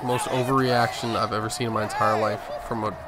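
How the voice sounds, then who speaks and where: A man hosts a gaming video with loose, casual play-by-play. He jokes and swears now and then. Clear background noise and game sound sit behind his voice. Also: lowish voice, little pitch movement, brisk. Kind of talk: casual gameplay commentary. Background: video game audio.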